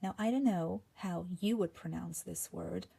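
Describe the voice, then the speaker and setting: A woman's voice: lowish voice, creaky, mellow, breathy edge. A woman with a Hungarian accent giving an explanatory lesson, reading scripture passages and dropping to a whisper on key words. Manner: slow and deliberate, drops to whispers on key words. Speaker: language teacher.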